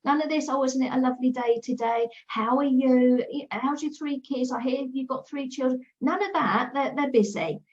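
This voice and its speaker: High-voiced woman giving PR advice. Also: normal speed, hardly any pauses, highs cut off. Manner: direct, practical, repeats herself. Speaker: PR guest expert. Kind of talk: casual advice chat.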